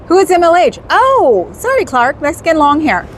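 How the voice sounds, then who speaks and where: A woman's voice: very high-pitched, sing-song, moderate pace, slight background noise. A woman hosting a casual livestream, chatting with viewers in a rambling way and laughing often. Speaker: livestream host.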